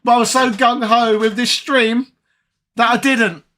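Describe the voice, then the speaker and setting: Raspy-voiced British game streamer. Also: male voice, very high-pitched, little pitch movement, measured pace, bright, forceful tone. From Britain. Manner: chatty, reads viewer comments aloud, laughs often. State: raspy, tired voice. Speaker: retro gaming streamer. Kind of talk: casual live-stream chat.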